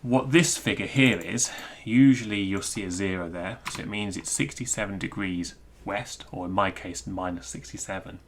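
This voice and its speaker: A man giving an informal technical screencast walkthrough, thinking aloud in a halting, self-interrupting way. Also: deep voice, slight background noise. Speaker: presenter explaining technical material.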